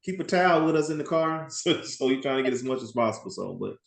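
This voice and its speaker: A man's voice: mid-range voice, lively intonation, quick pace, creaky, reverberant. A man, a party rental business owner chatting casually in a roundtable and laughing often. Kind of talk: casual video call roundtable.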